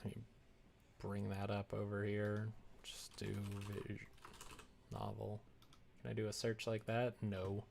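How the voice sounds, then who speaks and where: A man's voice: on the low side, monotone, unhurried, creaky, long pauses, a little mellow, slight background noise. A man livestreaming casually, thinking aloud and muttering to himself with playful little asides as he browses. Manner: muttering to self, playful asides and sound effects. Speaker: livestreamer making a visual novel.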